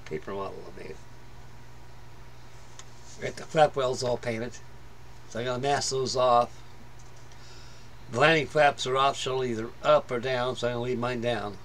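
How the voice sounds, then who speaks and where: A man's voice: medium pitch, moderate pace, pauses often, fairly bright tone, slight background noise, poor audio. A middle-aged man giving casual, rambling commentary on a model build at his workbench, full of fillers and restarts. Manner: plain-spoken, rambling, many fillers and restarts. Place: workbench in a home hobby room.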